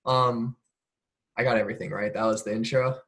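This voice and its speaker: A young man hosting a casual student podcast, relaxed and chatty with frequent "um" pauses. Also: medium pitch. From Oregon, US. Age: young adult. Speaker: podcast host, club president.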